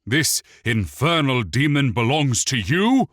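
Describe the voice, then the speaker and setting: A man playing a tall, proud male elf prince who yells in stiff, formal speech, in a fantasy audiobook. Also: low-pitched, animated, takes it slowly, no echo, studio quality, heavily acted. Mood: furious. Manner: imperious, yelling, formal speech.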